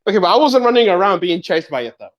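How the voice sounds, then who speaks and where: A man's voice: high-pitched, very animated, very fast, fairly bright tone. A young man co-hosting a podcast in casual chat, teasing and laughing often, with a slightly sore throat. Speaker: podcast co-host.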